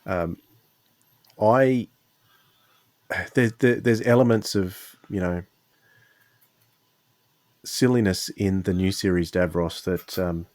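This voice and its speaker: A man with a fairly low voice hosting a podcast in a casual, conversational style. He rambles a little, pausing and rewording as he goes, and the sound is very dry and close. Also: darkish tone, dry recording. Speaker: podcast host.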